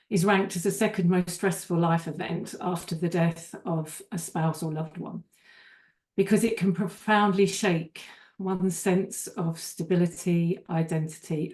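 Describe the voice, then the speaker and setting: A woman's voice: lowish voice, a little mellow. An older woman, a divorce coach, giving an earnest webinar presentation with small restarts.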